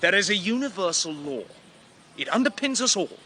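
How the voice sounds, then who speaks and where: A man's voice: sits high, sing-song, moderate pace, sharp tone, soft background music, full character voice. An actor playing a rigid, skeptical younger king who speaks with declamatory contempt in a classical radio drama. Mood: cold conviction.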